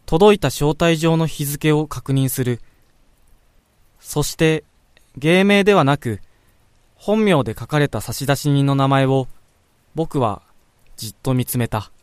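A man playing a pensive young man who narrates his thoughts in a radio drama, measured and introspective. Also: fairly high pitch, smooth, no echo, natural voice. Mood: reflective, tender. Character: pensive young man quietly reflecting on a childhood friend.

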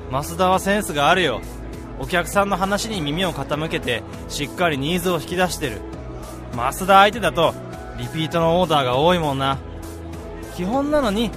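A young man acting a hearty, easygoing salaryman mentor with casual, rough speech in a radio drama. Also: fairly high pitch, close to an everyday voice. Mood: friendly, encouraging. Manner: casual, rough, blokey senior-to-junior speech. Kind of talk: radio drama acting with first-person narration. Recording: music behind the voice.

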